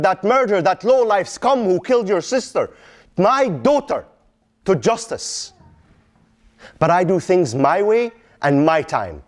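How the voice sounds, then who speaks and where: A man performing a dramatic monologue as an anguished middle-aged Palestinian father, in Arabic-accented English, with emphatic repetition and pointed questions. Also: high-pitched. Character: anguished Palestinian father pleading with his vengeful son.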